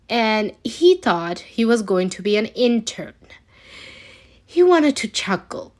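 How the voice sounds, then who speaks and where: A woman reading a scripted story aloud as an amateur narrator, expressive but a little halting, with occasional stumbles. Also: fairly high pitch. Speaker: amateur fan fiction reader.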